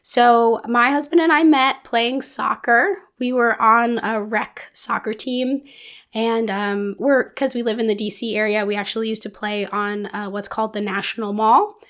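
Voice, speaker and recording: A woman with a somewhat high voice talks casually, like a hobby podcaster speaking to her viewers. She sounds hoarse and a bit sick, as if her voice is only just coming back. Her delivery is informal, rambling and self-deprecating. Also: steady tone, normal speed, fairly bright tone. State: hoarse, under the weather with a recovering lost voice. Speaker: knitting podcast host. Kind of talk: casual chat to camera.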